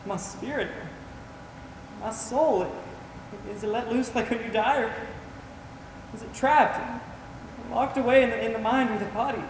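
A young man acting in a stage play as a dying, confused patient, tender and frightened, speaking haltingly. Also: very high-pitched. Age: young adult. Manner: halting, repeats words. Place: on a theater stage, distant camera. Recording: echoey theater hall from far off, noisy.

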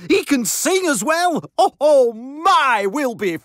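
A man's voice: very high voice, big pitch swings, takes it slowly, noisy background, heavily acted. A man voicing a jolly, absent-minded older handyman in a children's cartoon, bumbling along with chuckles and hums. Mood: excited wonder.

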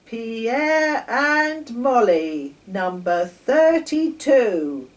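A woman voicing a formal male rabbit chairman in a storybook, announcing in a drawn-out, ceremonious way. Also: fairly high pitch, big pitch swings, voice pushed up, full character voice. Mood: ceremonious, proud. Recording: distant camera mic, faint noise.

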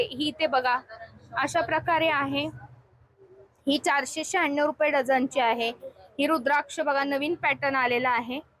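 उंच आवाजाची मराठी महिला व्लॉगर. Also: female voice, high-pitched, fairly bright tone, poor audio. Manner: chatty, explanatory, addressing viewers. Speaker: shopping vlog host. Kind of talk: casual shop tour presentation. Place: inside a shop.

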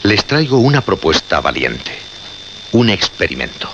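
Solemn male horror-show host, deliberate and theatrical, on a muffled, noisy radio recording. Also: low-pitched, sing-song, full character voice. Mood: solemn, ominous. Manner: deliberate, dramatic pauses and repetitions. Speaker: radio actor. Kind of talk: radio horror drama acting.

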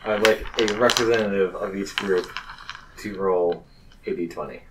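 Low-voiced male game master, off mic. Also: fairly even intonation, normal speed. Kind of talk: narrating a tabletop role-playing game. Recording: distant mic with faint noise.

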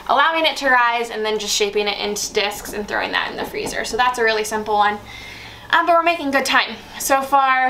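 A woman's voice: a little high, a bit bright. A woman in her thirties who runs a homesteading channel, talking viewers through her bread recipes step by step in a friendly, instructional way. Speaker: homesteading vlogger. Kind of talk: cooking tutorial narration. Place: home kitchen, indoors.

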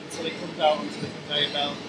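A man's voice: a little high, little pitch movement, quick pace. A man in his thirties explaining a process as a guide inside a large working factory. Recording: music behind, factory hum.

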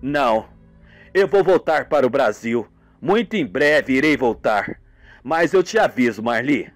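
A man's voice: medium pitch, lively intonation, measured pace, no room sound, soft background music, voice pushed down, natural voice. Man acting a plaintive, confiding young man. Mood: resigned. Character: young male actor, plaintive hospital patient on the phone.

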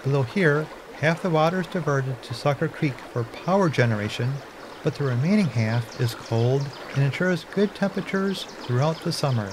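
A man giving calm, scripted narration about a river and its fishing access. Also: on the high side, moderate pace, background noise.

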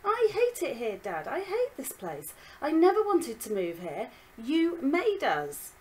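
A woman voicing an upset young girl who pleads and shouts at her father in a storybook reading. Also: very high-pitched, huge pitch swings, measured pace, slight background noise, pitched above the performer's own voice, exaggerated voice. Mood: angry.

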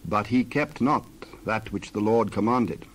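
A man narrating scripture as a scripted dramatized reading, measured and solemn, with careful phrasing. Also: lowish voice, a little hiss or hum.

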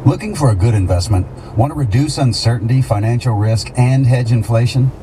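A man's voice: mid-range voice, fairly quick. Male radio ad announcer, noisy recording. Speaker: commercial announcer. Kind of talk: scripted radio ad narration. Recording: noisy car radio pickup.

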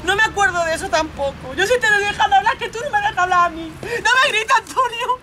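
A woman's voice: medium pitch, fairly even intonation, fast talker, bright, forceful tone, some chatter behind, a little hiss or hum, lightly acted. A woman playing a frightened young girlfriend in a dramatic monologue, pleading and protesting in a Spain accent. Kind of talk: theatrical monologue role-play.

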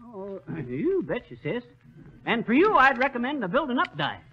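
An actor playing a blustery, bumbling know-it-all shopkeeper in folksy 1930s radio comedy style, mangling big words. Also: male voice, very high voice, huge pitch swings, moderate pace, background noise, exaggerated voice. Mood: pompous salesman. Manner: folksy slang, malapropisms, exasperated bluster. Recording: muffled 1930s radio recording.

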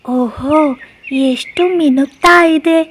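A woman voicing a vain, excitable young female myna bird in a children's cartoon, exclaiming and boastful. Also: sits high, slow pace, slight background noise, pitched above the performer's own voice. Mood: amazed delight. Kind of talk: children's cartoon story acting.

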